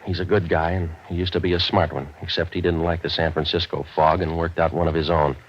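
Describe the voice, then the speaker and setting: Casting: male actor as tough, world-weary American gumshoe, low voice, sardonic deadpan, muffled vintage radio sound. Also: fast, slight background noise, natural voice. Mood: wry narration. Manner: sardonic, clipped, deadpan wisecracks. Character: cynical wisecracking male private detective, world-weary and tough. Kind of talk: 1940s radio detective drama acting, hard-boiled first-person narration.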